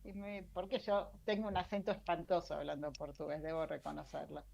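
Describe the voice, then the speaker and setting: A woman's voice: low-pitched, level tone, a little fast, gravelly, a little mellow. A middle-aged Argentine woman telling stories casually as an interview guest, self-deprecating and full of restarts. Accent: Argentine Spanish. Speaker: interview guest, science journalist. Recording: dull lo-fi sound.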